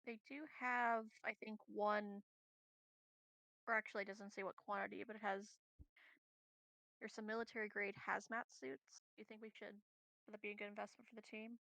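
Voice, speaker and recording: A woman's voice: mid-range voice, little pitch movement, normal speed, creaky, pauses often, bright, forceful tone, no echo, rough recording. A woman chatting casually and hesitantly as a player in a tabletop game. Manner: hesitant, tentative. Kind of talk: casual chat.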